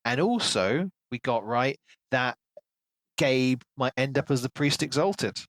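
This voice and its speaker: A man's voice: medium pitch, expressive, slow pace, a bit bright, no room sound. A man co-hosting a casual book discussion, giving his opinions in an analytical way. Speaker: podcast co-host.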